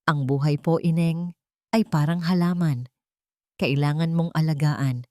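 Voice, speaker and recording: A woman voicing an elderly, gentle and wise former gardener who speaks politely and softly in a storybook. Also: deep voice, fairly even intonation, unhurried, dry recording, clean studio sound. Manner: polite and soft-spoken. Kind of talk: storybook narration.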